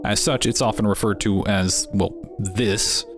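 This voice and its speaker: A man's voice: lowish voice, steady tone, a little slow. Wry man narrating over music. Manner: wry, dryly joking asides. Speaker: channel host narrator. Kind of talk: scripted narration. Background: background music.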